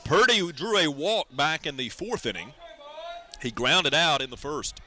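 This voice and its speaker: An American man calling a baseball game as a radio play-by-play announcer, in a measured, descriptive way. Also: on the high side, lively intonation, sharp tone, soft background music. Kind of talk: live sports radio commentary.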